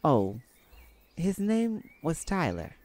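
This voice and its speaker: A woman voicing a kindly, hesitant male neighbor in an ASMR roleplay, telling a story in a halting, folksy way. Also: sits low, big pitch swings, darkish tone, slight background noise, exaggerated voice. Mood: wistful, folksy. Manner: folksy, halting, sighing between thoughts.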